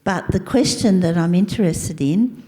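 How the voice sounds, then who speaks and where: A woman's voice: on the low side, moderate pace, warm tone, breathy edge, long reverb tail. A woman with an Australian accent at a panel event, speaking hesitantly with many fillers.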